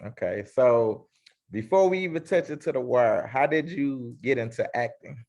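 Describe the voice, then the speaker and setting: A man's voice: mid-range voice, moderate pace, a little mellow, lo-fi sound. A man hosting a casual interview, talking in a loose, slangy, hyped-up way. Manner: hype, slangy self-introduction. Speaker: interview host.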